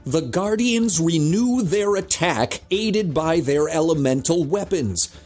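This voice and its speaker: A man's voice: a little high, animated, slow pace, a little mellow, faint music behind. A man narrating a scripted action audio drama with dramatic storytelling delivery.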